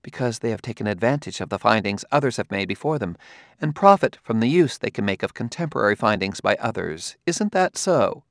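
A man's voice: fairly low pitch, lively intonation, fairly quick, no room sound, studio quality. A man voicing a haughty, condescending woman scientist in an audiobook, speaking precisely as if lecturing. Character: haughty, cool woman scientist of a spacefaring world.

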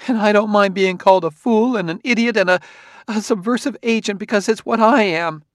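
A man performs a distraught wife in an audiobook, pitched far above his natural voice. She is tearful, fearful and guilt-ridden, pleading breathlessly, in a dry recording. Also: normal speed, no room sound, lightly acted. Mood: frightened, desperate. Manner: high, breathless, emotional pleading. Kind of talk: audiobook character acting.

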